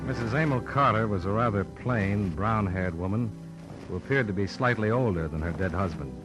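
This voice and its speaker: Guy acting as low-voiced noir detective, dry and blunt, old radio show with music behind. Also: moderate pace, close to an everyday voice, low-quality recording. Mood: matter-of-fact narrating. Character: hard-boiled, skeptical male insurance investigator, narrating his case.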